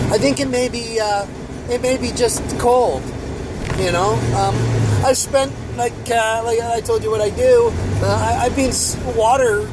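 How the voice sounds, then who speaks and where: A man in his fifties, a bishop, talking in a rambling, reflective way with many restarts while walking outdoors and filming himself on a phone. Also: high-pitched. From Canada (Ontario). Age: 50s. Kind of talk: casual vlog monologue. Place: outdoors on a winter street, walking. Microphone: handheld phone camera. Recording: loud outdoor traffic noise.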